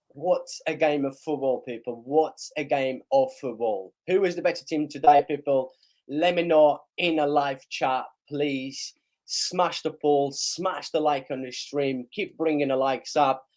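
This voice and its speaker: Hoarse, excitable man reacting to football. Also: mid-range voice. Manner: excitable, urging viewers to like and share. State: hoarse, losing his voice. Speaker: live stream host. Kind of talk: live football match reaction.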